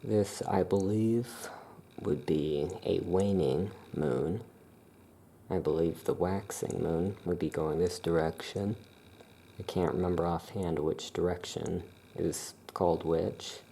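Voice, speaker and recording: Soft-spoken ASMR shopkeeper, unhurried and calm, with a mellow, gravelly tone. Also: low-pitched, a little hiss or hum. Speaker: witch shop keeper presenting amulets.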